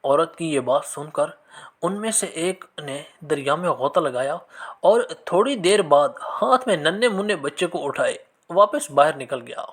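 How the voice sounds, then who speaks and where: A man narrating scripted religious moral stories in an expressive, measured storytelling manner. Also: on the high side, husky.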